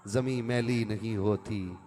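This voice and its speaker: A man's voice: lowish voice, fairly even intonation, slow pace, warm tone, slight background noise. A man delivers a conversational religious sermon to a live gathering, urging listeners to respond and at times reciting verse. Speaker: Islamic preacher.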